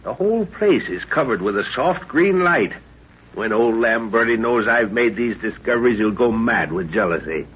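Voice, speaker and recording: A man's voice: medium pitch, lively intonation, normal speed, slightly rough. An actor playing an eager, authoritative middle-aged scientist explorer and father in a 1930s radio adventure. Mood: excited wonder. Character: Lorna's father, excitable older scientist explorer. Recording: scratchy muffled 1930s radio recording.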